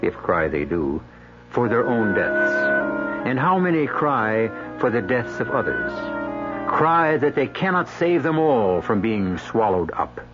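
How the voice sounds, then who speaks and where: A man's voice: medium pitch, sing-song, takes it slowly. A man playing the solemn, brooding host of radio mystery tales, narrating in a grave, portentous, theatrical manner. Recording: dull old radio broadcast under loud music.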